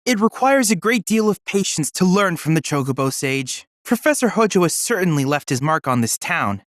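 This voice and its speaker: An actor playing a polite, earnest boyish android research assistant in a video game, with formal, precise, bookish diction. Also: male voice, sits high, sing-song, normal speed, no echo, studio quality. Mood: thoughtful, curious. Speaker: game character, research guide. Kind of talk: scripted video game voice acting.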